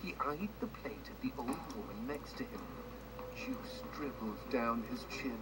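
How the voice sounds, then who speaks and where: An actor playing a wry, macabre storyteller who narrates a grim storybook tale in a video game, dramatic and tongue-in-cheek, heard through noisy TV audio. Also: male voice, medium pitch, a little slow, natural voice. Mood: ominous, wry.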